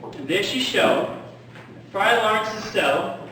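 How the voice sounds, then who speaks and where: A man playing an ardent young gentleman, speaking in a measured, declamatory Shakespearean stage style. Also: high-pitched, animated, exaggerated voice. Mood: earnest. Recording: very echoey, distant, noisy stage recording.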